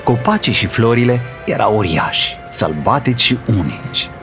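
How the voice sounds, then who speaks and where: A man's voice: medium pitch, sing-song. A man reading scripted narration for a children's fairy tale. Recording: voice over prominent background music. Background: music.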